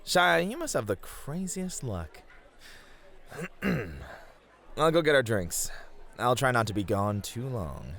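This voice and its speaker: A man's voice: medium pitch, sing-song, slow pace, pauses often, a bit bright, heavily acted. A man playing a flirty, caring bunny-club host in an ASMR roleplay, with a teasing, earnest manner.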